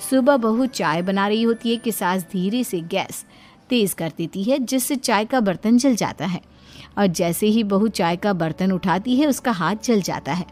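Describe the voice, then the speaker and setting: A woman's voice: medium pitch, unhurried, a little mellow, faint music behind. A woman storyteller giving a scripted, dramatic narration of a story.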